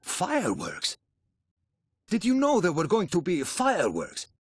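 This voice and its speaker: An actor playing a proud, dismissive army general in a game cutscene, stiff and condescending. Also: male voice, high-pitched, huge pitch swings, measured pace, husky, no room sound, studio quality, full character voice. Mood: puzzled. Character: proud, dismissive male army general, stubbornly self-assured. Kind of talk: cinematic game cutscene acting. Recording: loud background noise.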